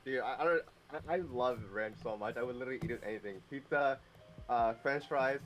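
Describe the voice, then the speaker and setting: A man's voice: medium pitch, fast talker. Young male guest, eager and chatty, laughs easily, mid-range voice. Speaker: podcast guest and VTuber. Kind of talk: casual streamed chat.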